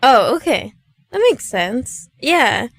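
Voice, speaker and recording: A woman hosting a casual interview, agreeable and quick to affirm what she hears. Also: a little high, big pitch swings, gravelly, no echo, clean studio sound.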